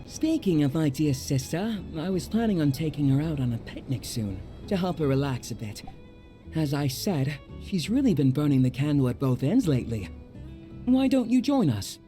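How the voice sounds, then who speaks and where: Chatty, theatrical brother type for a game, very animated, faint music underneath. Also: male voice, fairly high pitch, moderate pace. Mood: upbeat, teasing. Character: chatty, wry, cheerful salesman townsman, caring brother.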